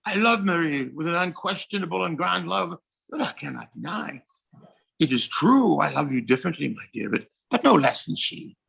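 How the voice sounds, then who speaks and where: A man acting an ardent young French king in an audio play, with a creaky, husky voice that rises and falls freely. He is courtly and effusive, a tender and devoted lover. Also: on the high side, animated, moderate pace, fairly bright tone, exaggerated voice. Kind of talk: stage-style audio drama acting.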